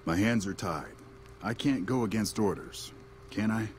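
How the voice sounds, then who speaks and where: An actor playing a dutiful, conflicted military lieutenant in a video game, speaking in a measured, earnest way. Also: male voice, on the low side, a little mellow, a little hiss or hum, lightly acted. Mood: torn. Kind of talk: video game dialogue acting.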